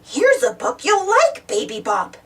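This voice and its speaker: Woman whispering as a hushing bookworm. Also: very high voice, huge pitch swings, a little slow, far from the mic, voice pushed up, heavily acted.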